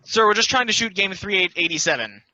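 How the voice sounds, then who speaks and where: A man playing an excitable, earnest young videographer who chases games, in an amateur play reading. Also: high-pitched, fast, no echo, pitched above the performer's own voice. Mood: excited, earnest. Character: excitable young male storm-chasing game videographer. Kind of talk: amateur play table read. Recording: dry, dull lo-fi audio.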